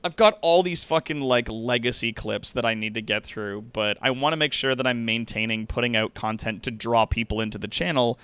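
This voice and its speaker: Man streaming casually in a clear voice. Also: medium pitch, a little fast, hardly any pauses, a bit bright. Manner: slangy and profane, addressing viewers directly. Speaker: livestream host and content creator.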